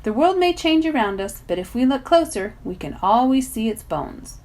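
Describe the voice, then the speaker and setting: A woman's voice: on the high side, big pitch swings, moderate pace, a little mellow, voice pushed up. A woman voicing an ancient, courteous male guardian of a mountain in a storybook, speaking formally and kindly. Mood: gentle, courteous.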